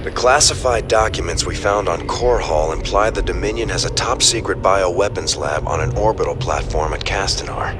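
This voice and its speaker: An actor playing an earnest second-in-command in a video game, giving formal, measured briefings. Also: male voice, low-pitched, noisy background, natural voice. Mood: serious briefing. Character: earnest, dutiful military second-in-command, adult man. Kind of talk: video game cutscene acting. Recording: music behind the voice.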